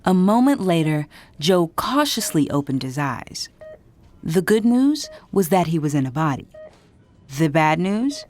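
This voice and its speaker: A woman reading scripted narration for a children's read-along storybook in an expressive, dramatic way. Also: on the low side, measured pace. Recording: music behind the narration.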